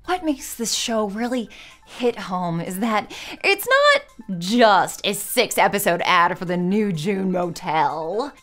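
A woman in her thirties hosting satirical video commentary in an arch, theatrical, mock-sincere way. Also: fairly high pitch. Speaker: video essay host. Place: indoor studio set with plain backdrop.

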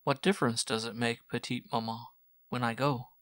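A man's voice: mid-range voice, fairly even intonation, normal speed, a bit bright, no room sound, clean studio sound, lightly acted. A man voicing a young French soldier son who jokes to deflect his mother's questions. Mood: bantering. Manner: light, joking, deflecting.

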